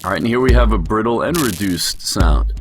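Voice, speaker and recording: Male tutorial instructor, lower-pitched voice, explanatory voice-over delivery with clear music underneath. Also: expressive, brisk.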